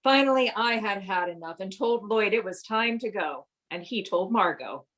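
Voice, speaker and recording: Woman playing a poised, wry friend, medium pitch. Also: lively intonation, moderate pace, fairly bright tone, natural voice, rough recording. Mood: wry narration. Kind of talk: radio play acting and narration.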